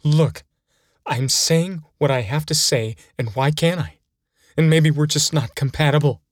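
A man's voice: a little high, a little slow, dry recording, studio quality, pitched above the performer's own voice, close to an everyday voice. A man voicing a self-pitying youth baseball coach in a comic story reading. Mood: defensive.

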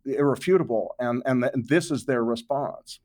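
Guy guest on a podcast explaining stuff, medium pitch, repeats words to hammer it home, dry audio. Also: moderate pace, a little mellow, no room sound. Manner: emphatic, repeats words for stress. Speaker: guest, author and journalist. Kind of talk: conversational interview explanation.

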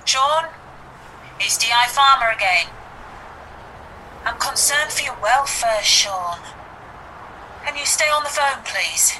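An actress playing a concerned, persistent detective inspector in an audio drama, coaxing and questioning in a measured way. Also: female voice, fairly high pitch, animated, background noise. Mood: concerned, official.

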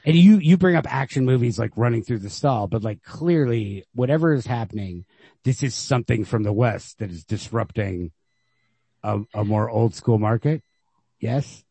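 A man's voice: medium pitch, lively intonation, moderate pace, vocal fry, dark tone, husky, no echo. A man hosting a podcast, casually discussing a story in a rambling way, retelling it with quoted reactions of his own. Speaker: podcast host. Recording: lo-fi home podcast recording.

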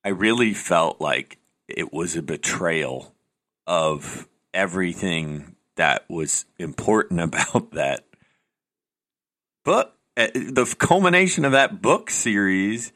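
A man's voice: low voice, slow. A man co-hosting a podcast, chatting casually and opinionated, often restarting his sentences. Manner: opinionated, animated, trails off into restarts. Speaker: podcast co-host. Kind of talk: casual chat.

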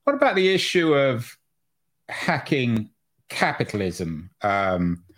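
A man hosting a conversational interview, building up to his questions in halting, hesitant phrases. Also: medium pitch, very animated, fairly bright tone. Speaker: interview host.

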